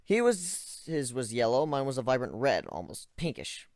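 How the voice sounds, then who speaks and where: Male reader, expressive first-person narration with wide pitch swings; dry, close recording. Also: moderate pace, dry recording. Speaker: story reader. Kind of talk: dramatic reading of a story.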